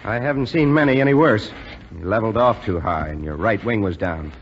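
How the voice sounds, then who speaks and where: Unyielding male officer, played by an actor, fairly deep, authoritative and clipped, dull old radio play with faint noise. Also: fairly quick, close to an everyday voice. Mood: stern. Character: stern, unyielding male flight instructor lieutenant. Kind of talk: 1940s radio drama acting.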